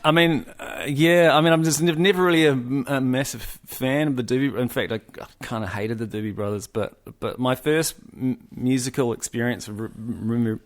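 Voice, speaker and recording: A man in his forties from New Zealand, a musician guest talking casually, halting with many restarts and fillers. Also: mid-range voice. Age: 40s. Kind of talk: casual conversation.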